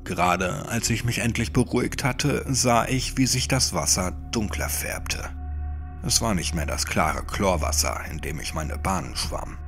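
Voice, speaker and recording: A man narrating a first-person horror story in a dramatic, brooding audiobook style, often sinking toward a breathy whisper. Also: lowish voice, a little slow, a bit bright. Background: music.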